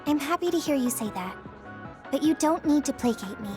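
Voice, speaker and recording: A woman's voice: high voice, animated, brisk, music in the background. An actress playing a cheerful but self-doubting, earnest teenage girl soldier in a video game. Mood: earnest, bashful. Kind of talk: English video game voice acting.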